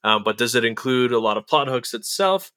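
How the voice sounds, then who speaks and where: A man's voice: mid-range voice, animated, brisk, fairly bright tone, no echo, studio quality. A man hosting a casual interview, asking friendly, chatty questions. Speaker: podcast host.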